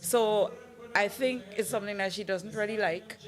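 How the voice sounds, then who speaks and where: Brief: mid-pitched Grenadian woman, friendly chatty co-commentary. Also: normal speed, low-quality recording. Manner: agreeable, chatty, light laughter in the voice. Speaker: broadcast co-commentator. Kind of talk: live event commentary.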